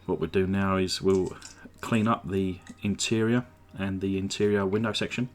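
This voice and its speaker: A man with a lowish voice, a little croaky from a sore throat, talks through his workbench restoration over soft background music. He sounds relaxed and chatty, pausing on "uh" between thoughts, with a desk mic picking him up in a home workshop. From England. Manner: relaxed, chatty, many "uh" fillers. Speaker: model car restorer. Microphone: desk microphone.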